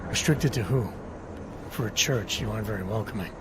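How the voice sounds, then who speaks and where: A man's voice: fairly low pitch, a little fast, over music, lightly acted. A man playing a stoic, terse agent in a video game cutscene, speaking in a clipped, dry, controlled way. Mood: firm, dry.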